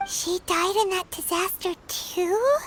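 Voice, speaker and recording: A woman voicing a tiny, chirpy, curious female fairy companion in a video game cutscene, with a squeaky childlike voice and eager questions. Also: expressive, slow, background noise, exaggerated voice. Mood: subdued. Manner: eager, questioning, easily disappointed.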